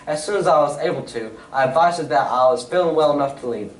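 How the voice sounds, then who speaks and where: A man playing a confident Victorian gentleman detective in a radio drama read on stage, with formal, old-fashioned phrasing. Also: a little high, quick pace, natural voice. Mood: assured, explanatory. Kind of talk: 1940s-style radio drama stage acting. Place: community theatre stage reading. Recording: echoey hall, distant mic, faint noise.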